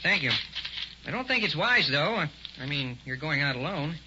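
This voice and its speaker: An actor playing a chatty, worried hotel clerk in 1940s radio acting style. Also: male voice, a little high, big pitch swings, normal speed. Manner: folksy, chatty. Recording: dull old radio recording, faint hiss.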